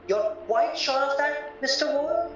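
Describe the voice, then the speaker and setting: A man acting in an audio play as a cautious, polite solicitor who asks careful, formal questions with gentle doubt. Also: very high voice, unhurried. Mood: grave, doubting. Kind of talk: stage-style audio drama acting. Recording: very echoey, muffled, distant, music underneath.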